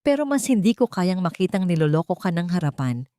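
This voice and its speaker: An earnest male lawyer in a romantic audio story, acted out by a woman narrator. He speaks gravely and sympathetically in a low register, with a dry, close sound. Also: sing-song, a little fast, mellow, no echo. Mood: reluctant.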